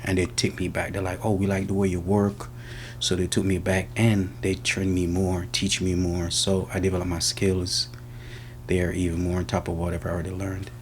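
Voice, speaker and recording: A man's voice: sits low, monotone, moderate pace, a little hiss or hum. A man with a Jamaican accent giving casual answers in an interview. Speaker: interviewee, senior car technician.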